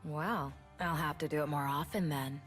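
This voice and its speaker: A woman's voice: low voice, steady tone, normal speed, over loud music, close to an everyday voice. A woman voicing a sardonic, deadly bird-woman rebel in a video game, with a dry, wry, cool delivery.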